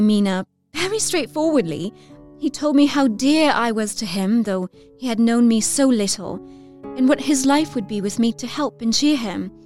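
An actress playing an excitable, romantic Victorian girl of nineteen, reading her letter effusively in an audio drama. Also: a little high, natural voice. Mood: confiding. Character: excitable, romantic nineteen-year-old Victorian young lady.

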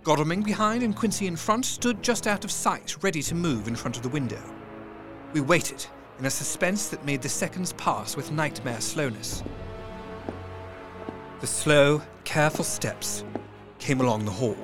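A man narrating an audio drama with tense, measured storytelling that sometimes sinks to a hush. His voice sits a little high for a man. Faint music plays beneath him.

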